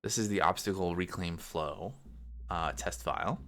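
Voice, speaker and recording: A man giving casual think-aloud commentary as a game developer on a coding stream, halting and muttering to himself as he works. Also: deep voice, fairly bright tone.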